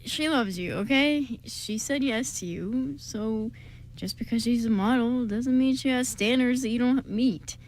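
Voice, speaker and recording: A woman playing a steady, gently teasing best man in an amateur radio play, speaking in a casual, soothing way. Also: on the high side, measured pace, natural voice. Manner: casual, soothing, plain-spoken. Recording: clearly noisy amateur recording.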